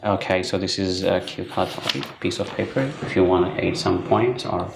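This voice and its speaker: A woman's voice: extremely low pitch, steady tone, moderate pace, vocal fry. A woman acting as a speaking examiner, asking brief questions and murmuring backchannels while the candidate answers. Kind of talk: mock exam questioning. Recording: echoey room with faint noise.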